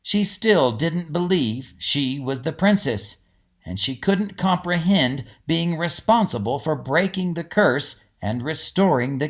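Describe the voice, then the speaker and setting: Expressive male storyteller with lively pitch. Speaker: audiobook narrator. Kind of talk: scripted storybook narration.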